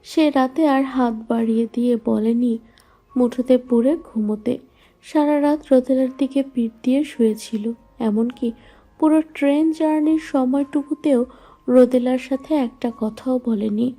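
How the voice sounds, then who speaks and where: A woman's voice: high-pitched, unhurried, warm tone. A woman narrating a scripted romantic story. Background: faint music.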